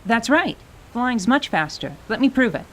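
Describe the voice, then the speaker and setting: A woman's voice: fairly high pitch, sing-song, moderate pace, a bit bright. Woman as a cheeky young tiefling girl, faint noise. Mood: playful, proud. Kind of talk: dramatized radio theater acting.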